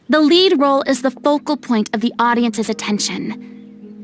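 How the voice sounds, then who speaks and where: A high, commanding young lady in a game cutscene, scolding and then earnest. Soft music plays under her lines. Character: imperious young woman, theatrical stage critic. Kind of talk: video game voice acting.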